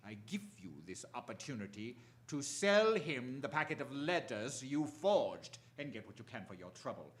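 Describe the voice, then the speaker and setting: Man acting menacing male crime boss, radio drama, lively swooping pitch, imperious, deliberate. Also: lightly acted. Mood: coldly menacing.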